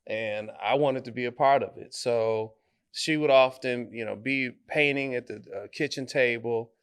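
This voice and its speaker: Man from detroit, guest artist, smooth resonant voice, thoughtful, halting. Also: medium pitch, fairly bright tone. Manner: thoughtful, halting, many fillers and restarts. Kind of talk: conversational interview.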